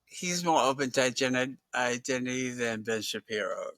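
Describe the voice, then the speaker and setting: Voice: medium pitch, normal speed, fairly bright tone, no echo. A talk show host chatting casually with a guest. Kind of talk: casual chat.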